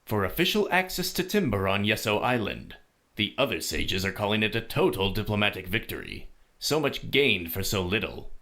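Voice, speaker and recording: Man playing a measured older mentor, low lively voice. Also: heavily acted. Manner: measured, formal, deliberate. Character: calm, shrewd older male mentor and sage. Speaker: teacher. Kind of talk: visual novel voice acting. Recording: music behind the voice.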